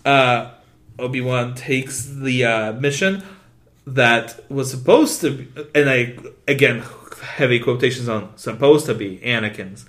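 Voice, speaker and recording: A male podcast co-host chatting loosely and excitably, full of swearing and quick asides. English is not his first language, and he talks at a middle pitch. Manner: swears constantly, rambling and excitable. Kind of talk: casual chat.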